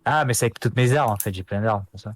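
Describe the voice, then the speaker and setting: A man's voice: lowish voice, quick pace. A man playing a tabletop game, talking casually and stumbling over repeated words. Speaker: player.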